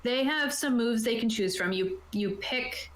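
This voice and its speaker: A woman's voice: fairly high pitch, measured pace. A woman talking casually as a game master, explaining rules and options to players. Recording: roomy echo, light background noise.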